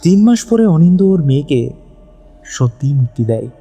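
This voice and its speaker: A man's voice: on the high side, big pitch swings, a little fast, mellow, husky. A man narrating a scripted dramatic audio story. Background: background music.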